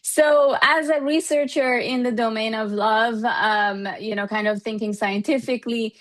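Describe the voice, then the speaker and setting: A woman's voice: on the high side, fairly even intonation, unhurried, a bit bright. A woman from Iran, a researcher, explaining her work in an interview in a thoughtful, hedging way peppered with "you know.". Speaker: researcher and professor being interviewed.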